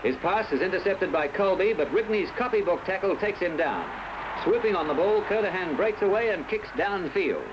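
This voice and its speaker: A man with an Australian accent narrating a scripted 1970s sports newsreel in formal, measured phrasing. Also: high voice, expressive. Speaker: newsreel commentator. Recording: dull, noisy old newsreel soundtrack.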